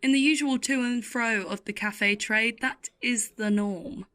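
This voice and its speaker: A woman playing a middle-aged, world-weary waitress in an audio play, telling her story in an intimate, sardonic, deliberate way. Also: fairly high pitch, bright, forceful tone. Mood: dry banter. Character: world-weary, wry older waitress in a late-night cafe. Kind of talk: audio play monologue with acted dialogue.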